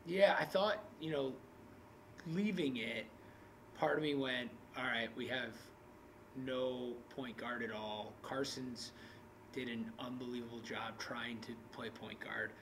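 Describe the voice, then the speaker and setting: A man in his thirties, a basketball coach, talking candidly in an interview with halting restarts. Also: medium pitch, steady tone, noisy background. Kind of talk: post-game interview. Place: indoor media room in front of a backdrop.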